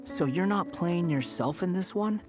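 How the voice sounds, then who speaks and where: A man's voice: high voice, measured pace, music in the background. A man voicing a grumpy, aloof young man turned stray cat in a video game, curt and sardonic. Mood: resigned, curt. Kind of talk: animated video game voice acting.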